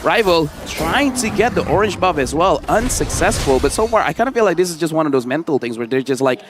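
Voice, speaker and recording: A man with a high-pitched voice commentating on a live esports game as the analyst. He talks conversationally, voicing players' imagined thoughts, over clearly audible music. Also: sing-song, fairly quick.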